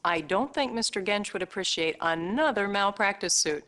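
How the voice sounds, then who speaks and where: An actress playing a businesslike treatment-room nurse in a video game, instructing and advising in scripted lines. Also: female voice, medium pitch, lively intonation, normal speed, a bit bright, natural voice. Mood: brisk, businesslike.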